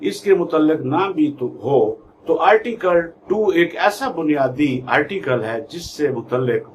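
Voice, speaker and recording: A man with a Pakistani accent giving an instructional lecture in a deliberate, emphatic way, repeating key terms. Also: fairly high pitch, animated. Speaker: law lecturer, YouTube channel host. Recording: faint background noise.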